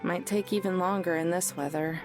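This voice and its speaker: A woman's voice: on the low side, steady tone, a little fast, natural voice. An actress playing a wry, downhearted young woman in a visual novel, with a dry, understated delivery. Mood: dry, subdued. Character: young woman, wry, teasing but downhearted road-tripper. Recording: game audio with music behind.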